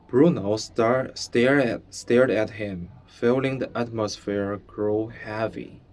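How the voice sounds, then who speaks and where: A young man reading a story aloud as a student, in a halting, deliberate way. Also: lowish voice, a little mellow. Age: young adult. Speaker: student reader. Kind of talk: storybook read-aloud narration.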